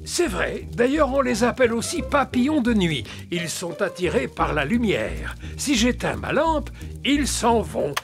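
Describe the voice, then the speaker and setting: Kindly, gravelly old grandpa pig. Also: male voice, sits high, unhurried, over music, full character voice. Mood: cheerful, informative. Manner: expressive, explanatory, gentle with children. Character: kindly old grandpa pig who loves his garden. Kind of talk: dubbed preschool cartoon acting.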